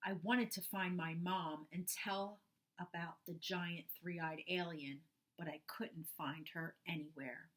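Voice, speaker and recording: A woman's voice: fairly low pitch, fairly even intonation, slow pace. A woman voicing a grumpy young boy cow, telling a children's storybook in an indignant, dramatic way. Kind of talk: first-person children's storybook narration.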